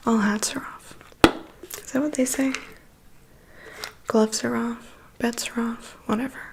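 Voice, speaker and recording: A woman's voice: a little high, steady tone. Woman playing a whispering, gossipy vampire's assistant. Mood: matter-of-fact, caring. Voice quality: soft, breathy whisper. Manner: confiding, gossipy, unhurried. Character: chatty, gossipy, weary female assistant to a vampire. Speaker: ASMR performer. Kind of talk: ASMR personal attention roleplay.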